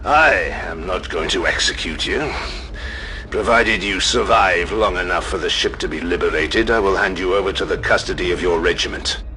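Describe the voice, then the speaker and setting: A man's voice: deep voice, moderate pace, sharp tone, slight background noise. A man playing a stern superhuman warrior commander in an audio drama, speaking formally and curtly with authority. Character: stern commanding superhuman Space Marine grand master.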